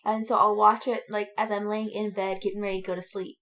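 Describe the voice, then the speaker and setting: A woman co-hosting a podcast, chatting casually with friends about fan interests in a gushing way, with drawn-out emphasis. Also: mid-range voice, level tone, fairly bright tone. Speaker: podcast co-host.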